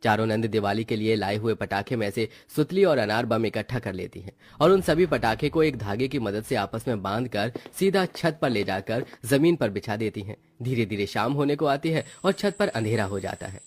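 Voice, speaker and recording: A man's voice: fairly low pitch, animated, moderate pace, hardly any pauses, no room sound. A man narrating a scripted story as the storyteller.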